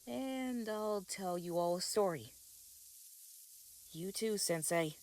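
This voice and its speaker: A man voicing a kindly, patient older grandmother in a comic dub, speaking in a gentle, folksy, reassuring way. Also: sits high, lively intonation, slow pace, heavily acted, rough recording. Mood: tender. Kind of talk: scripted comic dub dialogue and storytelling. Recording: loud background music under voice. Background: film soundtrack music.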